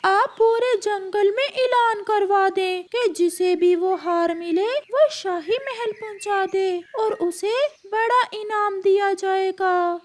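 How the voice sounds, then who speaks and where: A woman's voice: extremely high pitch, slow pace, few pauses, a little hiss or hum. A woman voicing an imperious, refined bird queen with a commanding manner in a children's cartoon. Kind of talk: Hindi moral-story cartoon dubbing.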